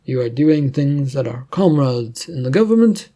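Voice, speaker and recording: A man voicing a cold, menacing male government agent in a story, formal, deliberate and accusing. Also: mid-range voice, lively intonation, mellow, natural voice. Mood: stern accusation.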